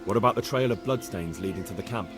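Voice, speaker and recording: An actor playing a determined young man questioning suspects in a video game, direct and quick to threaten. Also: lowish voice, over music, lightly acted. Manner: direct, threatening when pushed. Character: blunt determined young man investigating an ambush.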